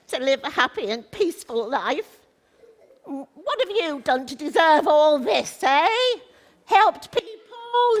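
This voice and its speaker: A woman's voice: very high voice, fairly bright tone, heavily acted. A woman playing a bitter, vengeful witch in a stage pantomime, taunting and grandly dramatic. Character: bitter vengeful witch cursing a royal baby. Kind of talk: theatrical pantomime stage acting. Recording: reverberant hall, live stage recording.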